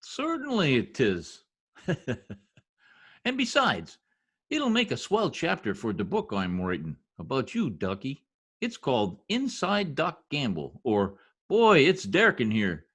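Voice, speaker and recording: A man's voice: medium pitch, wildly swooping pitch, slow, a bit bright, husky, exaggerated voice. A man acting in an old-time radio comedy as a needling, boastful husband with folksy, mangled grammar and a teasing manner. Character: gleeful needling blowhard husband, bungling new census taker. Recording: remote video-call radio play recording.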